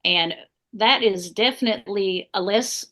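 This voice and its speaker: A woman's voice: medium pitch, measured pace, a bit bright, lightly acted. A woman portraying a spirited, tomboyish Southern lady spy from the 1800s with a Southern accent, telling her life story in a chatty, playful way. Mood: lively, playful. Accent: American Southern. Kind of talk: living history portrayal in an interview.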